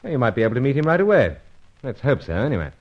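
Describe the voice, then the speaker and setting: An actor playing a gallant but guarded British secret agent in a radio adventure serial, with a clipped, hesitant, gentlemanly manner. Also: male voice, mid-range voice, sing-song, rapid-fire, over loud music. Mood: reassuring. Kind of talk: old-time radio adventure serial acting. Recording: dull old radio recording.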